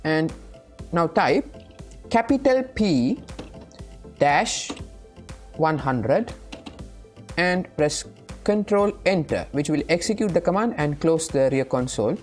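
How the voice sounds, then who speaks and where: A woman presenting a casual step-by-step software tutorial in a hesitant way, often checking that the listener follows. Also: sits low, lively intonation, takes it slowly, long pauses, soft background music.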